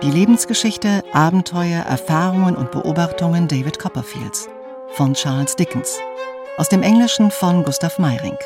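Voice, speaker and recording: A woman reading scripted radio drama credits as announcer, formal and measured. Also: fairly low pitch, expressive. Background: violin music.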